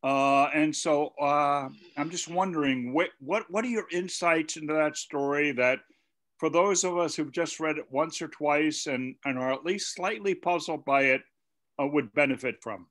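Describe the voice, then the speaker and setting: Higher-pitched, hesitant man. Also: normal speed, a bit bright. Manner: hesitant, repeats words. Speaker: participant asking a question. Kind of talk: conversational questioning. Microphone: video call. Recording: dull video call audio.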